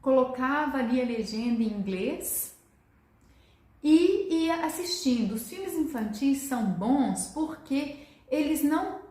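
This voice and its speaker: A middle-aged woman gives an unhurried Portuguese lesson, her voice sitting a little high as she walks through each point. She keeps asking questions and then answers them herself. The room around her is echoey. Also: expressive, dark tone. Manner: explains step by step, often adds "né?" and asks itself questions. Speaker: Portuguese teacher. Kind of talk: informal online lesson.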